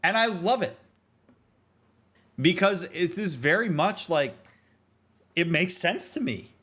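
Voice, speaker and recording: A man's voice: high voice, expressive, slow pace, a bit bright, husky. Earnest man commentating on a game. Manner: earnest, emphatic, explanatory, conversational. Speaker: streamer, therapist host. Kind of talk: reflective gameplay commentary.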